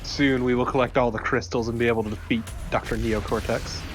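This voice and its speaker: Male gaming co-host, average pitch, offhand banter over clearly audible game music. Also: flat delivery, fairly quick. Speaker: let's play co-commentator. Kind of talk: casual banter over gameplay.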